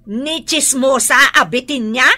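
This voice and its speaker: High-pitched, hot-tempered, combative mother. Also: a little slow, exaggerated voice. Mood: demanding, suspicious. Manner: sharp, demanding, combative. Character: hot-tempered, defiant mother quick to confront gossips. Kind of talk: Ilocano radio drama acting.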